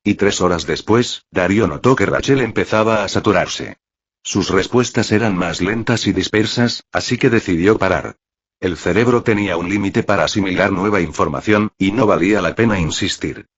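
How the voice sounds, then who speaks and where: Un hombre de voz ronca que lee una historia como narrador de audiolibro, con texto preparado. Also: male voice, deep voice, normal speed, breathy edge. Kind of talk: scripted story narration. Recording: muffled, roomy, lo-fi audio.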